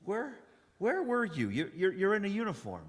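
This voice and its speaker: A man playing a haunted, weary wanderer, solemn and sometimes halting, in a live radio drama. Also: high-pitched, wildly swooping pitch. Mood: anxious, despairing. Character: haunted, weary male wanderer narrating his survival. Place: church hall stage, live performance. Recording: echoey hall, live performance.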